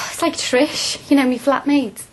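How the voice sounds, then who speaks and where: A woman's voice: high voice, fairly even intonation, unhurried, low-quality recording. A woman playing a frank, earnest young working-class student in a radio drama, plain-spoken and colloquial. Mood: earnest, reflective.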